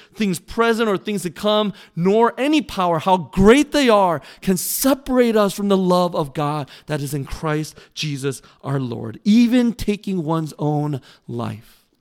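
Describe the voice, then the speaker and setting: Male pastor, church sermon, earnest and pleading, crisp resonant voice pitched a bit high. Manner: earnest, pleading, with emphatic pauses. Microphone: mic that briefly cut out.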